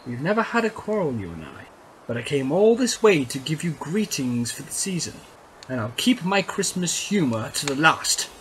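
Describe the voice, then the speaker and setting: A man's voice: a little high, sing-song, moderate pace, a bit bright, slight background noise, poor audio. An actor playing a kindly, earnest, cheerful young man in an audio play. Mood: pleading. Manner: earnest, exclamatory.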